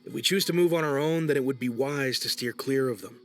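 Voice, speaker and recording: An actor playing a wary young student in a video game, talking in a cautious, musing way. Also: male voice, medium pitch, fairly quick, faint music behind, natural voice. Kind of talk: video game cutscene acting.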